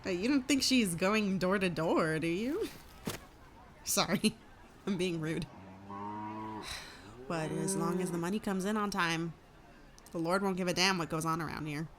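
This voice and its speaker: A woman's voice: fairly low pitch, unhurried, long pauses, slight background noise, close to an everyday voice. A woman playing a plain-spoken, self-deprecating village farmer in an audio drama. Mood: wry, friendly. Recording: clear farmyard ambience with livestock.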